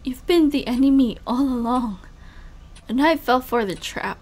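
A woman performs a young female spy in an emotional audio drama. Her voice sits high. She is guarded and bitter, carrying the hurt of betrayal. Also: expressive, a little slow, darkish tone. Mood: defeated, pleading. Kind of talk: emotional audio roleplay acting.

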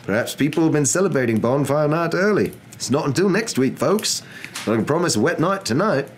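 A man's voice: on the high side, lively intonation, brisk, slight background noise, voice pushed up, natural voice. A man voicing a chirpy television newsreader with polished broadcast patter, as part of a story reading. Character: chirpy male television newscaster and weatherman. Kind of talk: news broadcast delivery.

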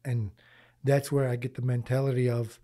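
A man's voice: mid-range voice, level tone, normal speed, dark tone. A man in his forties, raised in the US and born in Lebanon, talking earnestly as a podcast guest, often repeating words as he thinks and using Arabic religious phrases. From Lebanon, raised in Michigan, US. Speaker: podcast guest, charity founder. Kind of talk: casual interview conversation. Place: small podcast studio indoors. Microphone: boom-arm studio mic.

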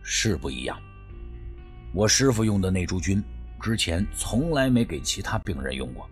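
Rugged, thoughtful herbalist, played by a male narrator: deep, grave, measured storytelling voice for an audiobook. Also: very animated, breathy edge, soft background music, exaggerated voice. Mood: grave, reflective. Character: rugged, careful male herbal doctor recounting his master's death.

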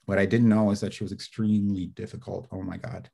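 A man's voice: sits low, level tone, fast, creaky, dark tone, slightly rough. Man rambling on a video call. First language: Spanish. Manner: rambling, many fillers and restarts, gesturing. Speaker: screenwriter guest. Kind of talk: casual interview over video call. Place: home study with bookshelves. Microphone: video call webcam.

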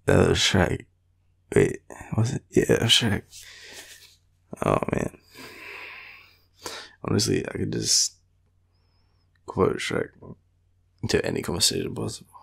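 A tired young Australian man murmuring an affectionate, rambling boyfriend roleplay in a deep, gravelly, breathy voice. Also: huge pitch swings, slow pace, long pauses. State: tired, sleepy. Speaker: boyfriend roleplay audio performer. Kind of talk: intimate sleepy roleplay, ASMR-like rambling.

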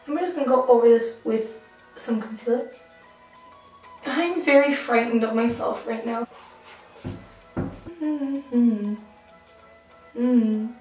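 A woman's voice: a little high, slow pace, pauses often, darkish tone, distant mic. Playful young woman in an echoey bathroom. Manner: playful, self-mocking, laughs often. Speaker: beauty vlogger. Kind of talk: casual makeup vlog chat. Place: small tiled bathroom, indoors. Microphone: camera mic at arm's length. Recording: echoey bathroom, light background music.

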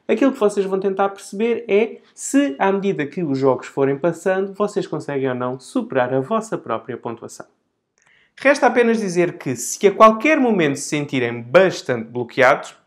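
Portuguese man explaining games, lively intonation. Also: on the high side. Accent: European Portuguese. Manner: clear, didactic, addressing viewers directly. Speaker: board game channel presenter. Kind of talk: explanatory tutorial to camera. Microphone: lapel microphone.

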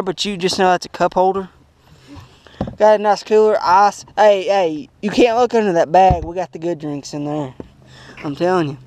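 A young man jokes and teases his way through a show-and-tell, laughing as he goes. His voice sits high for a man. It is caught outdoors on a lake by a small action camera. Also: darkish tone. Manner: playful, teasing, laughs often. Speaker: vlog host. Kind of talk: joking boat tour. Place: outdoors on a bass boat on a lake.

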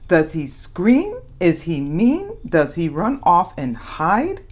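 Lower-pitched woman reading animatedly to children. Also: slow, husky. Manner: animated, dramatizes questions and exclamations for young children. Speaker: read-aloud story host. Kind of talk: expressive children's picture-book read-aloud with asides.

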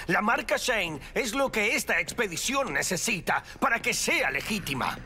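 An eager, obsessive cartoon explorer with a high voice. He swoops up and down like a smooth salesman, in a Latin American accent, with music behind. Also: sing-song, measured pace. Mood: excited, flattering. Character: eager, smooth-talking, obsessive middle-aged male expedition leader.

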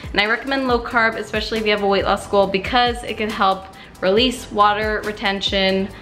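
A woman's voice: medium pitch, steady tone, brisk, fairly bright tone. A young woman vlogging in her home kitchen, talking straight to the camera in an upbeat, chatty way and calling her viewers "you guys.". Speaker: health vlogger. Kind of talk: direct-to-camera tips vlog. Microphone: camera at close range. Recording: roomy kitchen echo, faint music behind.

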